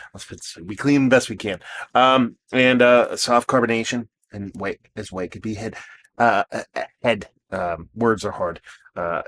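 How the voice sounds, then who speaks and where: A man in his thirties, hoarse from losing his voice, casually reviewing a beer with rambling, self-deprecating asides and lots of fillers. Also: lowish voice, fairly bright tone. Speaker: beer reviewer and show host. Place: outdoors.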